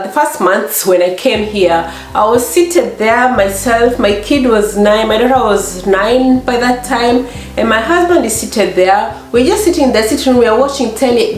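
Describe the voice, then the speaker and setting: A middle-aged woman with a Kenyan accent and a slightly hoarse voice, chatting casually as a vlog host and acting out little exchanges as she tells stories. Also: fairly high pitch. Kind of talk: casual chat to camera. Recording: echoey room.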